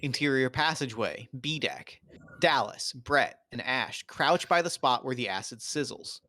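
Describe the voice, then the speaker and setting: A man's voice: medium pitch, animated, unhurried, sharp tone, no echo. Man reading screenplay directions, dry close sound. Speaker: narrator reading stage directions. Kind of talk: scripted narration of a screenplay at a table read.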